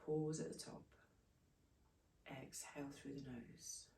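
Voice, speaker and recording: A woman leading a guided breathing meditation, giving soothing, unhurried instructions in short phrases. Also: rumbling low, steady tone, warm tone, a little hiss or hum. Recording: echoey room, distant from microphone.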